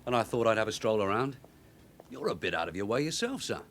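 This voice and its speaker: Blunt detective sergeant, lively intonation. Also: male voice, on the low side, fast talker, a little hiss or hum. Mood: guarded, polite. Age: middle-aged. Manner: blunt, streetwise, wry. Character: shrewd, plain-speaking veteran London police detective sergeant. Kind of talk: radio drama acting.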